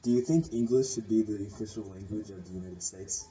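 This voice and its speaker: Deep, mellow male interviewer off-mic. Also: a little fast, distant mic, faint music behind. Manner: hesitant, with fillers between questions. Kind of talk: casual interview questions. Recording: muffled voice from off the mic.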